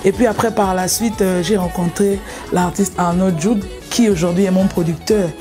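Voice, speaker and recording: A woman's voice: medium pitch, fairly even intonation, moderate pace, over music. A young woman from Gabon, a singer, telling her story in an interview, hesitant, with many fillers and restarts. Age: young adult.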